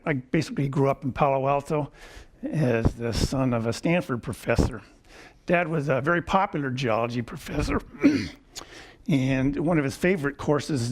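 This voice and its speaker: Older man telling wry life stories. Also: mid-range voice. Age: 70s. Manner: wry, chuckling, clears throat often. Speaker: guest lecturer. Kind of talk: personal storytelling lecture. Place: lecture hall.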